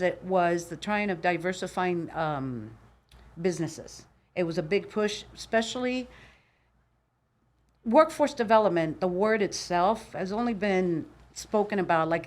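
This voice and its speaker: Older cuban-born lady on a podcast, loud and opinionated, kinda low voice. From Cuba. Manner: boisterous, opinionated, animated storyteller. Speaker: podcast guest. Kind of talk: casual interview chat. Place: podcast studio.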